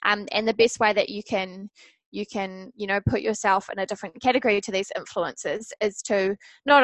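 A woman's voice: mid-range voice, a bit bright, dry recording. Female expert guest, mid-range voice, dry close sound, chatty and advising. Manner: conversational, advising. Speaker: social media expert guest. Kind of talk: casual interview answers.

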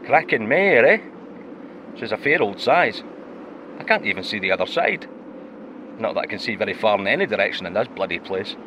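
A man's voice: fairly low pitch, very animated, fairly quick, pauses often, background noise. A man playing a wry, homesick Scottish astronaut and diver in a naturalistic audio drama, talking colloquially. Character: homesick, joking Scottish astronaut and deep-sea diver, male.

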